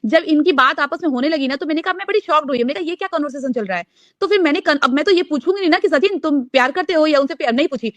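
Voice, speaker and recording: A woman's voice: sits high, animated, very fast, dry recording. A woman casually recounting events in a group call, emphatic and repeating her phrases.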